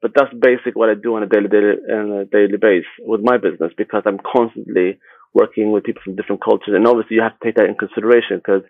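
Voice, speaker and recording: A man from Sweden talking casually as an interview guest, haltingly, often repeating words and rephrasing as he goes. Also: fairly low pitch, steady tone, dry recording, narrow, tinny audio. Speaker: interview guest, agency founder.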